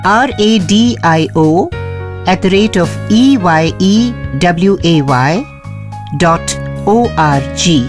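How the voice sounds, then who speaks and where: A woman presenting a scripted radio show, explaining things step by step and addressing listeners as friends. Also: on the low side.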